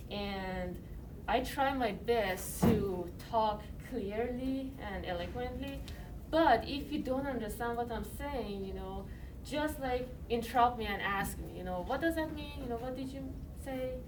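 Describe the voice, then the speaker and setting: A woman's voice: a little high, unhurried. Woman, Iranian accent, university lecturer, chatty with lots of fillers, recorded from across the room, noisy background. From Iran. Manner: conversational, many fillers like "you know" and "like". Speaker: chemistry lecturer. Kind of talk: classroom lecture. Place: university classroom. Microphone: iPad recording from a distance. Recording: distant mic, noisy classroom.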